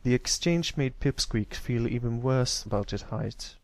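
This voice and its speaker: A man's voice: medium pitch, a little slow, a little mellow, no echo. A man reading scripted story narration as an amateur audiobook reader.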